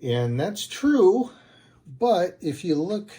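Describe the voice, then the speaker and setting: A man's voice: high voice, very animated, slow pace, warm tone. A man giving a casual, unscripted product review in a plain-spoken, hesitant and rambling way.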